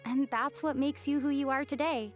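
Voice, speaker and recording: Woman voicing a high-voiced earnest young traveler. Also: steady tone, moderate pace, natural voice. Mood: sincere. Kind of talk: video game voice acting. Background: game music.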